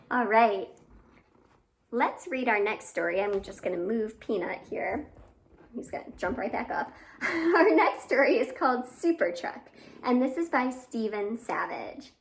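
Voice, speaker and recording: Slow, animated high-voiced woman reading to children. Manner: expressive, animated, addressing young children. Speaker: library storytime host. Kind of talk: children's read-aloud with asides and questions.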